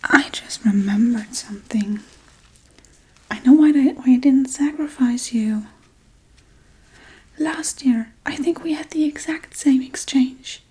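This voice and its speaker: A woman playing a scatterbrained, casually callous witch in a soft-spoken ASMR role-play, rambling in a breathy, mostly whispered voice. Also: high-pitched, takes it slowly, long pauses, exaggerated voice, rough recording. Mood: offhand, musing. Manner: offhand, rambling, blithely unbothered.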